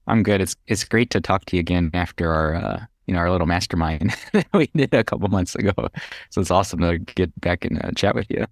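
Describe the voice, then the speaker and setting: A man's voice: low-pitched, a little fast, creaky, dry recording, studio quality. A middle-aged man with an American accent chatting casually as an interview guest, telling his own story in a conversational way. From Hawaii, United States. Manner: conversational, chuckles while talking. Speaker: podcast guest, real estate investor.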